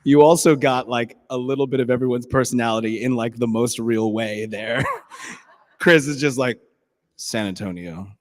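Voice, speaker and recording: A man's voice: mid-range voice, normal speed. Chatty bandleader man in a reverberant hall. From New York City. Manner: chatty and playfully nerdy, lots of ums and restarts. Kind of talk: casual talk to a live audience.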